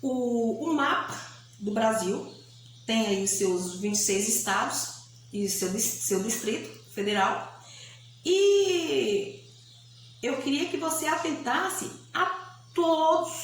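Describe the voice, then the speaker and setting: A woman's voice: sits high, fairly bright tone. A woman teacher with a Bahian Brazilian accent giving a grammar lesson, explaining didactically, repeating key points and often checking with short tag questions. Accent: Bahian Brazilian Portuguese. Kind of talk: recorded grammar lesson for pupils. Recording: echoey room, faint background hiss.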